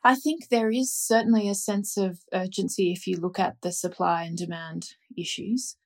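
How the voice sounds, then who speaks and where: Woman on a panel, middle-pitched, confident, explains things with examples. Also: fairly even intonation, normal speed. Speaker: expert guest. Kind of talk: panel discussion.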